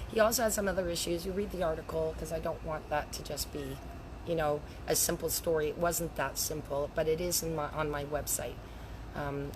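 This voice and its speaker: Chatty raspy-voiced woman in her fifties. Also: lowish voice, background noise. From Canada. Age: 50s. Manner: chatty and rambling, laughs between points. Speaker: handwriting analyst. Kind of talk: casual live video chat. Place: outdoors by a lake.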